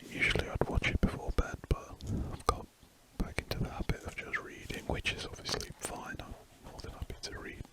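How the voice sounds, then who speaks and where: An ASMR drawing host chatting in a soft, unvoiced whisper close to the mic, rambling and correcting themselves while drawing. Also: a little fast, few pauses.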